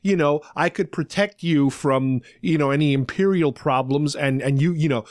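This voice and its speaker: Guy podcast host kinda rambling thru a story recap, voice a bit high, real dry sound. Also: moderate pace, no echo. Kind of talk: casual talk recapping a radio drama.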